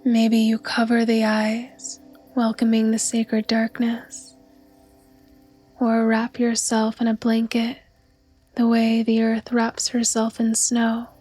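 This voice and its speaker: Female meditation guide: breathy and soothing, very flat monotone melody, unhurried slow pacing. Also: fairly high pitch, faint music behind. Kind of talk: guided yoga nidra meditation narration.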